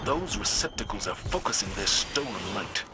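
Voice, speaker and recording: A man playing a resolute, authoritative military leader in a video game, measured and commanding. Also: on the low side, big pitch swings, noisy background. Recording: loud game music and machinery behind.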